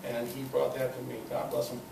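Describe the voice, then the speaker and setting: An older man giving an informal talk at a club meeting, telling personal anecdotes in a halting, conversational way. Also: medium pitch, little pitch movement, creaky, a little mellow. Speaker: guest speaker at a club meeting. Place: meeting room, in front of an audience. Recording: echoey, noisy room recording from far off.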